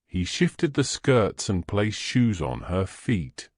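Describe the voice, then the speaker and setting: A man narrates a fictional tale in a fairly low voice. His delivery rises and falls freely, and the sound is dry and close. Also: big pitch swings, slow, darkish tone, no echo. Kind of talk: scripted audio fiction narration.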